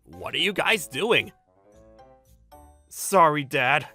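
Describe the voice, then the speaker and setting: A woman voicing an earnest, protective young husband in a manga dub, emphatic and quick to indignation. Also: low voice, big pitch swings, pushed and bright, slightly rough, faint music behind, full character voice. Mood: low, subdued.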